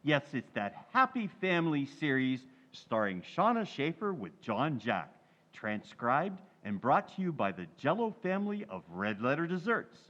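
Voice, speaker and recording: Animated old-time radio announcer man. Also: a little high, normal speed, lo-fi sound.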